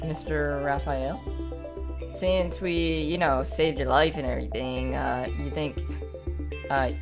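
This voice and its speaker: A woman's voice: rumbling low, steady tone, a little slow, music in the background. A woman playing along in a game roleplay with casual chatter. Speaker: co-player in gaming roleplay.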